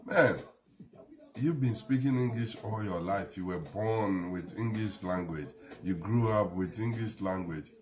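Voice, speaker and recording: Deep-voiced Ghanaian man hosting a live show. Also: measured pace, a little mellow, soft background music. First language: a Ghanaian language. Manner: rambling, earnest, laughs between points. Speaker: host.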